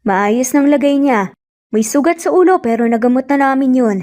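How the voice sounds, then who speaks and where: A calm hospital doctor in an audio romance, voiced by a woman. The voice is fairly high and soft-toned, explaining things in a reassuring manner. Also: moderate pace. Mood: calm reassurance.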